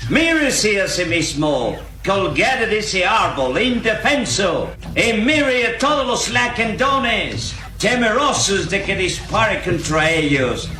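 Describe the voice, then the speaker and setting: An actor playing a gloating, menacing villainous captain in a melodramatic radio drama, mocking and commanding. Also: male voice, high-pitched, big pitch swings, takes it slowly, hardly any pauses, pushed and bright, roomy sound, heavily acted. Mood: gloating mockery. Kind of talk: melodramatic Latin American radio drama acting.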